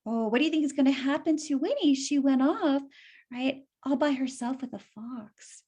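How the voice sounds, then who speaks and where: A woman with a high voice tells a children's story aloud. She stops to explain things in simple words and asks her young listeners questions. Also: normal speed, mellow.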